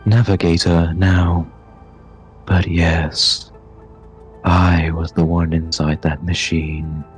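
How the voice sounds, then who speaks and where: A man voicing a solemn, grateful artificial being in a tabletop game, speaking in slow, formal, measured phrases. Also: deep voice, little pitch movement. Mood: solemn, urgent. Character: Navigator, solemn, grateful cosmic AI puppeteer. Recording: game music behind the voice.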